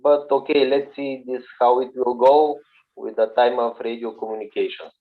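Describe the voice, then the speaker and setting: A man from Bulgaria with a Bulgarian accent, a radio amateur introducing himself on a video call and pausing often to find his words. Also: medium pitch, steady tone. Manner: halting, searching for words. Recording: tinny phone-like audio over a video call.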